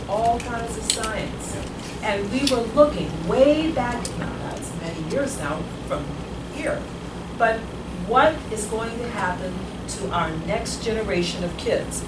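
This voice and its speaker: A grandmother in her sixties telling a rambling personal story at a community meeting, growing emphatic as she goes. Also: medium pitch. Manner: rambling, grows emphatic and forceful. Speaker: meeting attendee. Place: meeting room. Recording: echoey room, far from the mic, loud noise.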